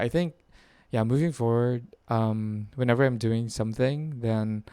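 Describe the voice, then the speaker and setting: A man's voice: mid-range voice, darkish tone, clear, resonant tone, no room sound. A man in his twenties from the Philippines, chatting to camera about his life in a rambling way, full of fillers and self-corrections. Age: 20s. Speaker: vlogger. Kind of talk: rambling personal chat to camera.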